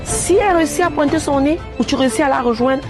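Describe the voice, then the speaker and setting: A woman acting a brisk, pushy character giving insistent instructions in a film drama. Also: sits high, close to an everyday voice. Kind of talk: acted film drama dialogue. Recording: music over film dialogue.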